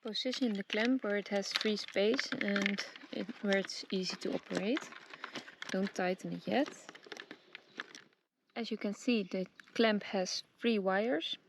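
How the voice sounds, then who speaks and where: A woman presenting a casual hands-on tutorial, giving simple step-by-step instructions with hesitant pauses. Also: medium pitch. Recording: clearly noisy background with clicks.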